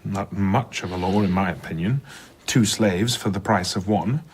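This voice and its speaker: An actor playing a sceptical listener in an audio drama, putting probing questions in a dry, husky voice. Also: male voice, low voice, normal speed, slight background noise, natural voice. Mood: dry scepticism.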